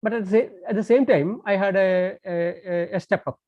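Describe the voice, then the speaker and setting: A man's voice: sits high, normal speed, no echo. A man whose first language is Tamil, giving an online lecture by phone, speaking in a hesitant way with many fillers and restarts. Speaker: guest lecturer. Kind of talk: online lecture over a call. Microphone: phone on a landline call. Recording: dull dry phone-call audio.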